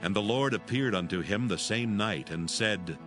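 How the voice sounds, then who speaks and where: A man narrating scripture from a script in a formal, measured manner. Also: on the low side. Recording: music behind. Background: music.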